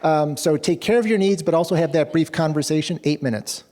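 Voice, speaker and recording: A man in a measured, reflective tone guiding a workshop group into a break. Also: on the high side, background noise. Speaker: program director. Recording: hall reverb from a room mic.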